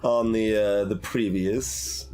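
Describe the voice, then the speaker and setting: A man giving casual, halting playthrough commentary as a game streamer, thinking aloud in an analytical, musing way. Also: lowish voice, steady tone, over music.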